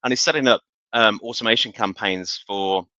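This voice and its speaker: A man in a live business discussion who speaks haltingly, often correcting himself and searching for words. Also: on the low side, bright, forceful tone, no room sound, muffled recording. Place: online live stream.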